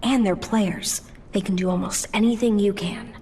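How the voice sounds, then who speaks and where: An actress playing a confident, sharp-tongued ally in a video game, matter-of-fact and snarky. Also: female voice, medium pitch, fairly even intonation, normal speed, a little hiss or hum, natural voice. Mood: briefing. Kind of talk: video game cutscene acting.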